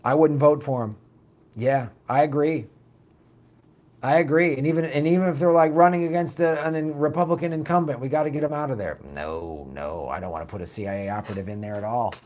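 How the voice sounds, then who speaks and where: A raspy man hosting a political talk show, reading viewer comments and answering them bluntly in a casual, animated way. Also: medium pitch, fairly quick. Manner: blunt, animated, mocks opponents with put-on quotes.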